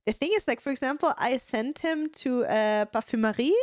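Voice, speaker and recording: A woman's voice: sits high, normal speed, no room sound, studio quality. A woman with an Austrian accent, a native German speaker, co-hosting a podcast in casual, rambling chat full of fillers and restarts. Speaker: podcast co-host.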